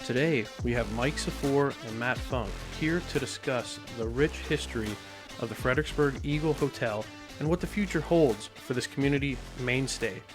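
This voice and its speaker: A man hosting a podcast in a studio, relaxed and jokey as he chats with his guests. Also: mid-range voice, normal speed, over music. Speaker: podcast host. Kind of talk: casual podcast chat. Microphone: studio mic.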